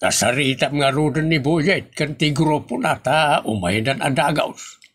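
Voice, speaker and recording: A man's voice: a little high, unhurried, fairly bright tone, husky. A man playing a grumbling, sceptical husband in an Ilocano comedy audio drama, emphatic and with an Ilocano accent.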